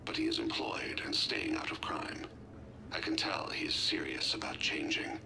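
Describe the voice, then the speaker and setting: Very deep, gravelly ailing alien ex-assassin. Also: male voice, huge pitch swings, exaggerated voice. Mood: wry, resigned. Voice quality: gravelly, breathy low voice. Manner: formal, measured, reflective. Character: ailing male alien ex-assassin, reflective, wry, fatherly. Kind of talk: video game acting, recorded personal message. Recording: clear background noise and ambience.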